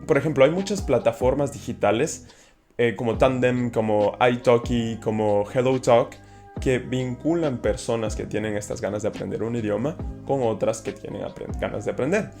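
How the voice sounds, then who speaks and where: A man from Ecuador with an Ecuadorian Spanish accent, explaining casually to camera in an animated, enthusiastic way. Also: mid-range voice. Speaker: host.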